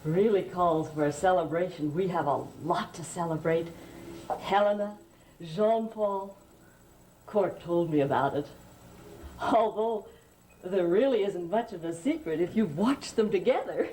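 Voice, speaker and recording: A woman's voice: fairly low pitch, unhurried, slight background noise, close to an everyday voice, rough recording. An actress playing a talkative, happy lady of the house who gushes as she speaks, from an old television soap opera. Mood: gushing. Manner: gushing, talkative, breathy with excitement. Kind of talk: 1970s soap opera acting.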